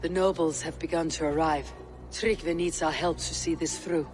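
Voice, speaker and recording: A steady Viking warrior , acted by a man, with a somewhat high voice for a man. The clan leader speaks calmly and directly, with music behind, as in a video game story. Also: moderate pace, natural voice. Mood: calm, resolute. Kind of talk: dramatic video game cutscene acting.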